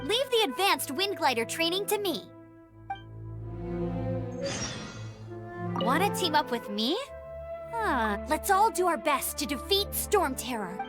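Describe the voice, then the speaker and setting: A woman voicing an energetic, confident and earnest young female knight scout in a video game. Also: high voice, normal speed. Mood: confident, upbeat. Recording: loud game music underneath. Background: game music.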